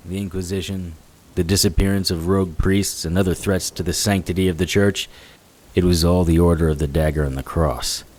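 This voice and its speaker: Deep, hard-boiled noir investigator played by a man. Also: darkish tone, lightly acted, rough recording. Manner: dry, wry, tough. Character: hard-boiled male investigator narrating, wry and vengeful. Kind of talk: scripted noir audio drama narration. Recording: hiss with rain sounds behind. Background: rain.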